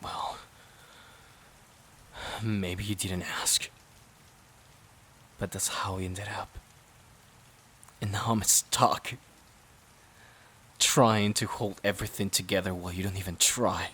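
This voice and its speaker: A man acting in an emotional audio drama as an exhausted, resentful boyfriend, halting and pleading with a breathy voice that often drops close to a whisper. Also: sits low, flat delivery, fairly bright tone, slight background noise. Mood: resigned, regretful. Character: exhausted, resentful boyfriend at his breaking point.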